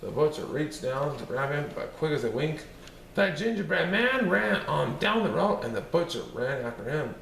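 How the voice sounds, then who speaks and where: A man reading a children's story aloud in a lively, expressive way. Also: on the high side, moderate pace, creaky, recorded from a distance, a little hiss or hum. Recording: roomy echo, faint ambience.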